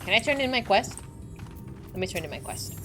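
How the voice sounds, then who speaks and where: A young woman streaming a game, chatting excitedly with a slightly squeaky voice, over faint game music. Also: a little fast. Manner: excitable, chatty, laughing often. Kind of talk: casual chat while playing a game.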